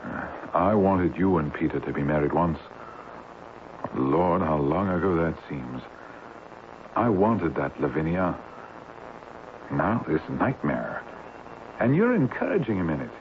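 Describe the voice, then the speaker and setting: A man's voice: low voice, very animated, unhurried, long pauses, heavily acted. A worldly skeptical man talks bluntly and incredulously in a vintage radio play. His intonation swings widely, carried on a narrow, faintly hissing recording. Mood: regretful, troubled. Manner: incredulous, scoffing, emphatic. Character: worldly, skeptical male friend, rational and blunt. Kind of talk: old-time radio drama acting. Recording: tinny, hissy old radio recording.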